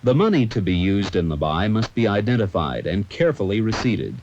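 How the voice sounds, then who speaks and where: A man's voice: lowish voice, very animated, a little fast, a little mellow. A man with an American accent delivering scripted, formal, authoritative narration for an old military training film. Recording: dull 1970s film soundtrack with music.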